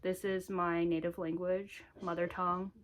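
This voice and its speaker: A woman's voice: on the low side, flat delivery, moderate pace. A woman in her twenties with an American accent, chatting casually and informally to camera in a small home room, with a giggly manner. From United States. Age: 20s. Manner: informal, giggly, frequent "like" asides. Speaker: vlogger.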